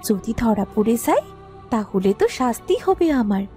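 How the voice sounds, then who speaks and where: Woman acting a conniving young village wife, highish voice. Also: sing-song, measured pace, mellow. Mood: conniving, determined. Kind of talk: scripted cartoon story acting. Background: music.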